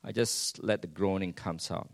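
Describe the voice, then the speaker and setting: A man preaching a sermon in English. He swings between hushed lines and loud ones and keeps asking the congregation rhetorical questions. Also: sits low, normal speed, vocal fry, dry recording. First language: Chinese.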